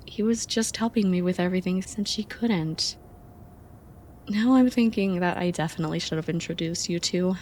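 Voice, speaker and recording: A young woman playing an affectionate, teasing friend in an intimate ASMR roleplay, speaking softly and expressively. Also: medium pitch, a little hiss or hum, close to an everyday voice. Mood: earnest. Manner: soft, playful, expressive.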